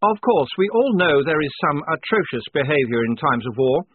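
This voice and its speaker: A man's voice: fairly high pitch, big pitch swings, normal speed, narrow, tinny audio, slight background noise, pitched above the performer's own voice. A man voicing a nice but worried army officer who is prim, polite and pompous, trying to sound firm. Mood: proud, indignant. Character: nice, worried young male army officer feigning firmness.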